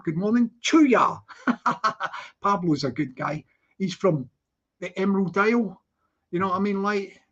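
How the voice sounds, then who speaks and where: A man's voice: high voice, fairly bright tone. A Scottish man hosting a casual live stream, chatting away to his viewers and greeting each one in a repetitive sing-song. His talk is loose and rambling, broken by easy laughter. The stream audio sounds slightly dull and lo-fi. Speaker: livestream host. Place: living in London.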